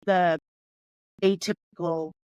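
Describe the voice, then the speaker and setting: A woman's voice: lowish voice, fairly even intonation, slow pace, a little mellow, clear, resonant tone, dry recording, rough recording. A woman in her forties, originally from Hong Kong, speaking as a podcast guest and telling her life story in a candid, reflective way. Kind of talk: conversational podcast interview.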